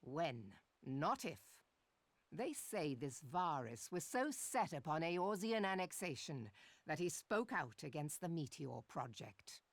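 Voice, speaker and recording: An actress playing a grave, commanding military leader in a fantasy game, with a stern, pointed delivery. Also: female voice, sits low, very animated, takes it slowly, dry recording, full character voice. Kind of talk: dramatic video game voice acting.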